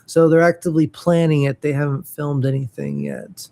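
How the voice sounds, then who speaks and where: A man co-hosting a laid-back chat show, with a mellow, somewhat high voice. He gives blunt opinions while hesitating over his words. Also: steady tone, normal speed. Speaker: co-host. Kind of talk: casual chat.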